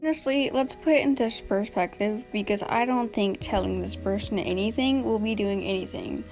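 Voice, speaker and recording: A woman recording an early-morning voiceover for a drama commentary video, sounding tired and a little raspy, blunt and exasperated in a casual way with lots of fillers. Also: medium pitch, moderate pace, darkish tone, soft background music, low-quality recording. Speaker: commentary YouTuber. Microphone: home voiceover mic.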